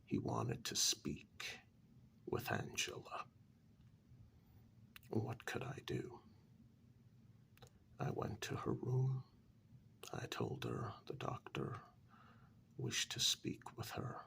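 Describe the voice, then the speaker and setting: A man in a soft-spoken ASMR story role-play, narrating a horror tale in a breathy, gravelly half-whisper as an asylum director, pausing between short phrases. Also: extremely low pitch, huge pitch swings. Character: asylum director calmly recounting eerie past events. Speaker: narrator telling a horror tale in first person.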